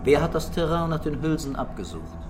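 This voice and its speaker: Terse, brooding police chief. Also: male voice, a little high, big pitch swings, a little fast, over music. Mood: probing. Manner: terse, probing. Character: brooding, sceptical male police chief, quietly commanding. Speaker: radio drama actor. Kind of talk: German crime radio drama dialogue.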